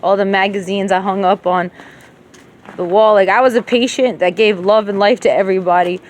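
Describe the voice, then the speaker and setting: A woman's voice: mid-range voice, fairly even intonation, brisk, slight background noise. Rambling, profane woman switching languages. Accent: rural Levantine Arabic when speaking Arabic. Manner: emotional, profane, switches between Arabic and English. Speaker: vlogger talking to herself. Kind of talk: rambling personal monologue.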